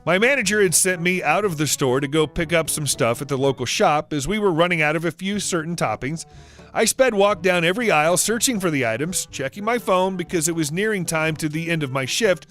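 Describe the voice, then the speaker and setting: A man giving a scripted narration of online stories as the channel's narrator, reading in an even, steady way. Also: on the high side. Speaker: story narrator.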